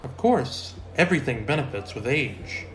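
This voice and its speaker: A very lively, swinging voice for a mild male biology teacher, played by a man. He is polite, earnest and sweetly oblivious, in the manner of a classic radio comedy. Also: medium pitch, normal speed, close to an everyday voice, poor audio. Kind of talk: old-time radio comedy acting.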